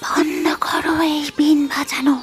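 Woman as a high, slow-spoken serpent lady. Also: little pitch movement, faint music behind. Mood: suffering, defiant. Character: female magical serpent, protective and pleading. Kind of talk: cartoon fairy-tale voice acting.

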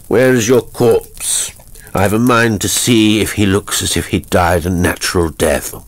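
A man's voice: on the low side, steady tone, measured pace. A man voicing a sullen, drunken, debauched nobleman with a coarse, sneering manner in a horror story.

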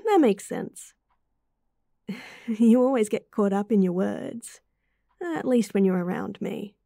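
A woman with an Australian accent playing a caring, teasing young student best friend in a roleplay audio, soft and breathy. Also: high voice, no room sound, clean studio sound, exaggerated voice. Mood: playful. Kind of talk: roleplay audio acting, intimate and softly spoken.

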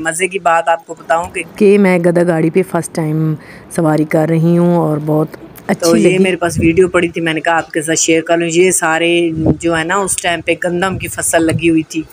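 A woman from Pakistan with a Pakistani accent narrates a casual vlog voice-over, chatting directly to her viewers. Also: fairly low pitch. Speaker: vlogger. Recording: noticeable background noise.

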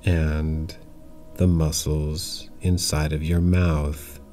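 A man leads a guided relaxation in a deep voice at an extremely slow pace. He gives calm, simple instructions in short phrases, separated by long pauses. Faint music plays behind him. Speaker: yoga nidra meditation guide.

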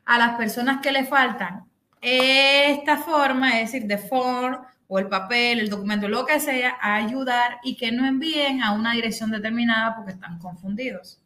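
Cuban woman, middle-aged, high voice, animated storyteller, echoey room, video call. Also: moderate pace. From Cuba. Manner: animated storytelling, acting out the other people in the story. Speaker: host. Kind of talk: casual chat on a video call. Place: bedroom, indoors.

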